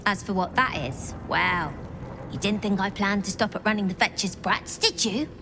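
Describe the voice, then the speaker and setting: A woman's voice: mid-range voice, normal speed, bright, forceful tone. An actress playing a brash, ambitious young female thief who leads a street gang. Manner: cocky, brash, street-smart. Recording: game audio with noise behind.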